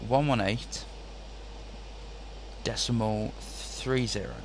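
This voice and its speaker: A man hosting a flight-simulator tutorial, explaining step by step in a relaxed way with occasional slips. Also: fairly low pitch, takes it slowly, gravelly, slight background noise. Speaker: host of flight-sim tutorial. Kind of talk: casual tutorial walkthrough.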